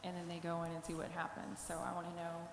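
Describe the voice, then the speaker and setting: A woman's voice: on the low side, monotone, fast. A woman interviewer asking fighters casual, enthusiastic questions at a press conference. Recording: echoey hall, faint noise.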